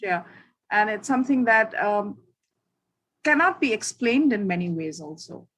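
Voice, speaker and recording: A woman's voice: medium pitch, animated, measured pace, a bit bright. A woman host asking interview questions in a conversational, somewhat hesitant way.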